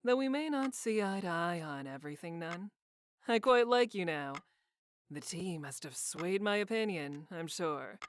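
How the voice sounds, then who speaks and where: A woman's voice: fairly low pitch, lively intonation, a little slow, slight background noise. A woman voicing a sly, haughty, teasing woman with formal, arch phrasing in a visual novel. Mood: cool, haughty. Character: sly teasing haughty woman, formerly violent, now playful. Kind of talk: visual novel character voice reading.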